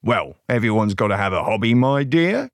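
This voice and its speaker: A man voicing a big bad wolf in a storybook tale, disguised as a gentle grandma, with a slightly gravelly, croaky, coaxing and polite voice. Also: fairly low pitch, very animated, normal speed, no room sound, clean studio sound. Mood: apologetic.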